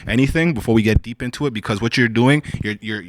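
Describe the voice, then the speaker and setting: A man's voice: medium pitch, quick pace, no echo. Laid-back man hosting a podcast. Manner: laid-back, heavy on fillers like "you know". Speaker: podcast host. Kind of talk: casual podcast interview.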